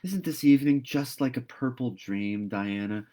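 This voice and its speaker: A man's voice: medium pitch, normal speed, pitched above the performer's own voice, lightly acted. Man reading as a dreamy, eager storybook girl. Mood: dreamy delight. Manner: gushing, expressive.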